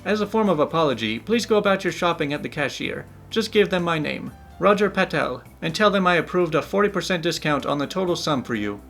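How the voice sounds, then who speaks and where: A man's voice: on the high side, lively intonation, a little fast, creaky, hardly any pauses, a bit bright, faint music behind, pitched above the performer's own voice. A man putting on an Indian accent to play an overly polite, apologetic fake store manager. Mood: fawning.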